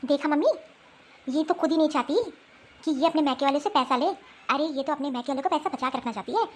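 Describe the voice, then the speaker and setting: A woman's voice: high voice, quick pace, lightly acted. A woman voicing a spiteful, meddling sister-in-law in a cartoon family drama, taunting and accusing as she complains.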